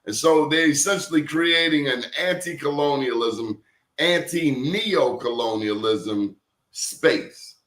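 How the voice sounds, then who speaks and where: A man's voice: fairly high pitch, takes it slowly, a bit bright, echoey room. A man hosting an interview show, telling stories in an emphatic, preachy way. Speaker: show host. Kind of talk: casual interview talk.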